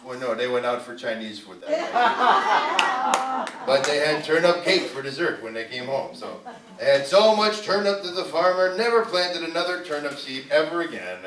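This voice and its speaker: Man, children's puppet-show storyteller, dramatic drawn-out phrasing, distant mic, echoey, faint noise. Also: fairly high pitch, big pitch swings. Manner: dramatic pauses and drawn-out repetition, asks the audience questions. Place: arts center live show.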